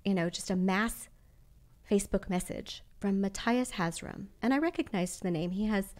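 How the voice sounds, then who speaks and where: A woman's voice: medium pitch, moderate pace, a little mellow. A woman guest on a podcast, telling stories with an enthusiastic, rambling manner. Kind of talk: casual interview chat.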